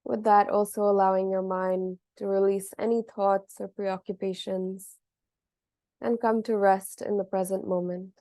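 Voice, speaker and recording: A woman guides a meditation in a mellow, relaxed voice with very little rise and fall. Her delivery is gentle and measured, leaving long pauses between phrases. The sound is dry and close, with no room around it. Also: mid-range voice, no echo. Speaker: meditation teacher.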